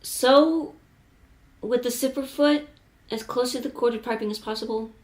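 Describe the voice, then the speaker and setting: A woman's voice: a little high, measured pace, darkish tone, no echo. A woman chatting casually through a craft project, self-mocking and prone to casual swearing. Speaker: sewing video creator. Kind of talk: casual craft vlog talk.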